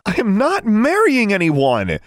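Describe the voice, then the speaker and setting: Man voicing a defiant preteen girl, high-pitched, indignant, snapping, swooping intonation, audiobook character. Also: huge pitch swings, unhurried, dry recording, studio quality, exaggerated voice. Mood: outraged. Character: hot-tempered, defiant twelve-year-old girl.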